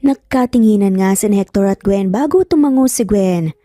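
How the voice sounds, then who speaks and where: A woman's voice: mid-range voice, normal speed, dark tone, dry recording, clean studio sound, faint music behind. A woman narrating a scripted dramatic story.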